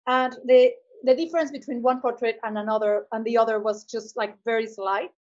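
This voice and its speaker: A woman's voice: fairly high pitch, fairly even intonation, brisk, a little mellow. Woman, thoughtful, slightly dull sound. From Mexico. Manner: thoughtful, searching for words with many fillers. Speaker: artist guest. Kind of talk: conversational interview.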